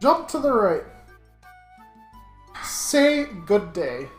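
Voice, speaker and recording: A woman's voice: mid-range voice, very animated, drawn-out words. A woman giving casual, joking commentary on a visual novel with wry, sweary banter. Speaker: let's play commentator. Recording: roomy echo, music behind.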